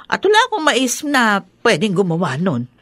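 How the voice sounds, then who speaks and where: A woman's voice: mid-range voice, huge pitch swings, normal speed, a bit bright, breathy edge, exaggerated voice. A woman playing an anxious village mother, fretful and tremulous, in a radio drama. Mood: grave suspicion.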